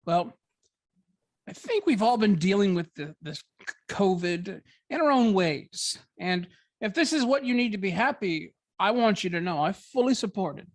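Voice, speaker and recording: A woman's voice: medium pitch, lively intonation, moderate pace, no room sound, studio quality, close to an everyday voice. A woman playing a supportive, joking young boyfriend in a script table read, casual, hesitant and stammering. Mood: supportive, earnest.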